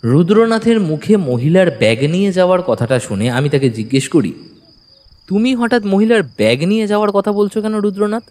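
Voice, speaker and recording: A man's voice: sits high, sing-song, fairly quick, darkish tone. A man narrating a detective audio story in the first person, suspenseful and dramatic. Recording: long reverb, music bed. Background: music.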